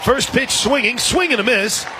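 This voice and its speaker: A man doing live radio play-by-play of softball, excitable, in short punchy calls from an outdoor stadium. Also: extremely high pitch, normal speed. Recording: live outdoor stadium broadcast, crowd noise. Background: loud crowd and ballpark ambience.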